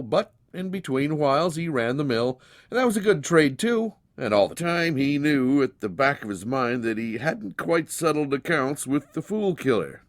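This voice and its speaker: A male storyteller reads a folk tale with a lot of expression. His pitch rises and falls widely, and his delivery is folksy and theatrical. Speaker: storyteller, narrator. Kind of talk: dramatized scripted narration of a folk tale.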